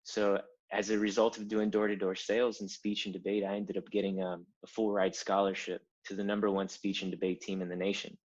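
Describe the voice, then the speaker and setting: Man from Cuba, thirties, very low pitch, dull lo-fi recording, emphatic storyteller. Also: brisk, few pauses. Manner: animated storyteller, builds to emphatic points. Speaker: guest, design founder. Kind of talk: conversational interview.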